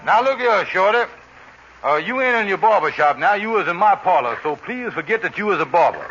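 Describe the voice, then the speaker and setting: A scheming, fast-talking husband full of plans in a vintage radio comedy. His voice is high and bright. It comes through dull, faintly hissing old broadcast audio. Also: expressive, faint music behind. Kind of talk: 1940s radio comedy acting.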